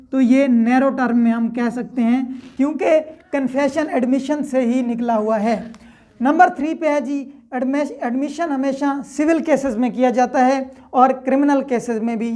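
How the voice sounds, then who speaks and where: Woman, high-pitched voice, law lecturer, didactic, step-by-step explanations. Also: darkish tone. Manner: didactic, step-by-step, repeats points and checks understanding.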